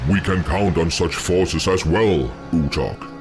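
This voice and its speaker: A man playing a solemn, wise orc seer in a fantasy game, talking in a measured, ominous way. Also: sits low, music in the background. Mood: solemn, foreboding.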